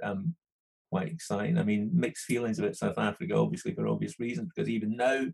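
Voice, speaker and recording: Middle-aged scottish-sounding guy, gravelly and a bit husky, keeps restarting, says you know a lot. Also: lowish voice, flat delivery, quick pace, darkish tone, poor audio. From London. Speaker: podcast guest, studio manager. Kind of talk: casual interview chat.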